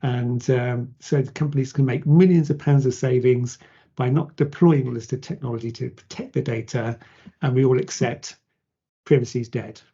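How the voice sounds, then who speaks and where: Guy hosting a podcast, chatty and teasing, warm-ish tone, lo-fi sound. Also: mid-range voice, moderate pace, husky. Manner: casual, joking, loose. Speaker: podcast host. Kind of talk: conversational interview. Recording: dull lo-fi sound.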